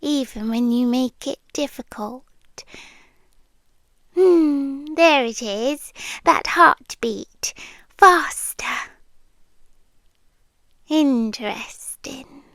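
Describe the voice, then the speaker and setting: Woman playing a slow, high-pitched British yandere girlfriend. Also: no room sound, clean studio sound, exaggerated voice. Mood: hushed, possessive, then soothing, possessive. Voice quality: soft, breathy, often dropping to a whisper. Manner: slow, deliberate, pausing between short phrases. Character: possessive yandere demi-human girlfriend, tender, obsessive captor. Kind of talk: ASMR roleplay. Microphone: close, dry microphone.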